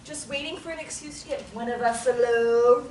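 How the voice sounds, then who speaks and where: A woman's voice: fairly high pitch, a little fast, darkish tone, lightly acted. A woman reading a brash, foul-mouthed young woman in group therapy, sarcastic and confrontational. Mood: angry, mocking. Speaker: table-read actor. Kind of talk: script table reading. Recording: roomy, distant mic, light hiss.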